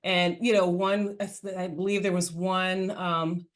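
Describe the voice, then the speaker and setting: A woman hosting a parenting podcast, reflecting aloud and sharing personal stories in a relaxed interview. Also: lowish voice, measured pace. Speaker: podcast host. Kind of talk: casual interview chat.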